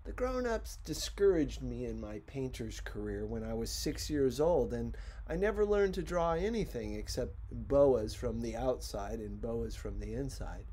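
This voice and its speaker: A man reading a storybook as an audiobook narrator, with dramatic, deliberate phrasing. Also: mid-range voice, lively intonation, dark tone, breathy edge, low-quality recording. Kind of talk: expressive scripted storybook narration.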